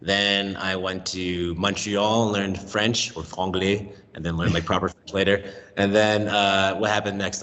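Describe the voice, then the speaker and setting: Animated American man, low voice. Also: big-room sound. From United States. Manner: animated, acts out voices and accents in his stories. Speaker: guest, language teacher. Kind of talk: casual interview talk. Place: remote call from Mexico.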